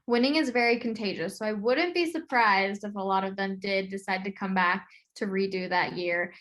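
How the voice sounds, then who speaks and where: A woman's voice: mid-range voice, normal speed, a bit bright. A woman with an American accent discussing games casually as co-host of a sports podcast.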